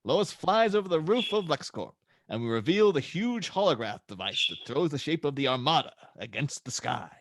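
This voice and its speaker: A man's voice: a little high, big pitch swings, moderate pace, no room sound. A man narrating scene descriptions and stage directions for a script table read.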